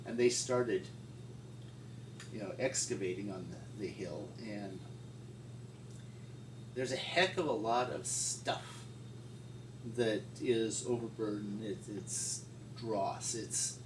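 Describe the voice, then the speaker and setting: A middle-aged man reminiscing informally as an interviewee, in a halting, folksy way. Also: on the low side. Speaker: paleontologist interviewee. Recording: distant mic, echoey room, loud noise.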